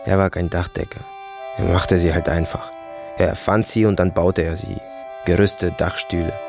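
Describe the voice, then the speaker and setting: An actor playing a brooding soldier haunted by family memories, in a halting, fragmentary radio-drama monologue. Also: male voice, sits low, steady tone, vocal fry, music in the background. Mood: wistful, musing. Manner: halting, fragmentary, repetitive musing.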